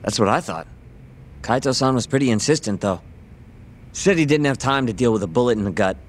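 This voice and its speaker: An actor voicing a casual, laid-back friend in a video game. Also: male voice, mid-range voice, lively intonation, brisk, husky. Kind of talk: video game dub acting.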